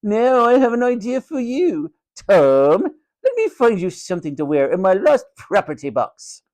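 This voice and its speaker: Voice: mid-range voice, huge pitch swings, a little slow, a little mellow, pitched above the performer's own voice. A storyteller voicing a cruel, sneering hospital matron, a domineering woman who snaps and mocks. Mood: mocking, cruel. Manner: snapping, mocking, sarcastic, domineering.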